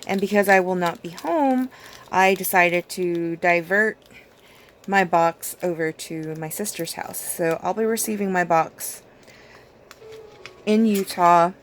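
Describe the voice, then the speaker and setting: A woman vlogger losing her voice, her speech hoarse and raspy as she chats casually through a nail routine with plenty of fillers. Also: lowish voice, slow, slight background noise. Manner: rambling, chatty, with many fillers and small laughs. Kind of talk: casual chat while doing her nails.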